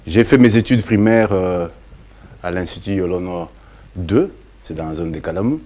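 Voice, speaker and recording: Deep-voiced Congolese man, halting interview guest. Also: animated, dark tone. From Democratic Republic of the Congo. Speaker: interview guest, parliamentary candidate. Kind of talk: explanatory interview talk.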